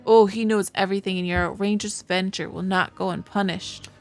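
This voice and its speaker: A woman's voice: medium pitch, steady tone, fairly quick, soft background music, natural voice. A woman playing an indignant, defiant young wife in a visual novel, with dramatic, emphatic delivery. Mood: defiant, accusing.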